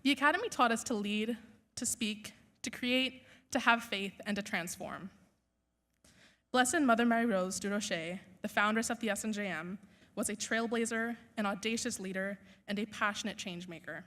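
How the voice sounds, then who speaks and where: A woman's voice: medium pitch, a bit bright. Earnest young woman giving a speech. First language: Urdu. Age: young adult. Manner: earnest, measured delivery with rhetorical lists. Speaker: valedictorian. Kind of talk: formal graduation speech. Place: school theater stage.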